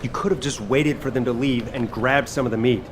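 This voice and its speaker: A man voicing a gruff, exasperated soldier in a video game, with a wry, sardonic manner. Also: mid-range voice, steady tone, fairly quick, noisy background, lightly acted. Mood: wry concern. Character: gruff, exasperated male soldier who cooks, wry and impatient.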